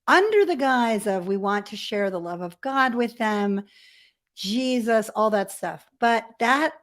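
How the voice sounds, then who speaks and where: A middle-aged woman from Ethiopia, telling personal stories as an interview guest in a candid, animated way with self-deprecating humour. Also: mid-range voice. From grew up in Ethiopia as a missionary kid. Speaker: podcast guest. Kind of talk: conversational personal storytelling.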